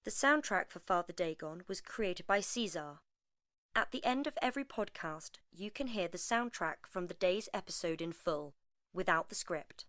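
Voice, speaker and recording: A woman's voice: on the low side, expressive, moderate pace, fairly bright tone, dry recording, studio quality. A woman announcer reading scripted closing credits for an audio drama podcast.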